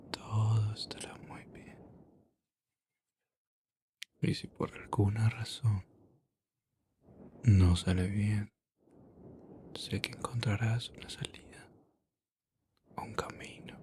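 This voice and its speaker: A man playing a gentle vampire in an ASMR roleplay, murmuring and whispering soft, breathy reassurance with long pauses to lull someone to sleep. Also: lowish voice. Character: gentle male vampire soothing an adventurer to sleep.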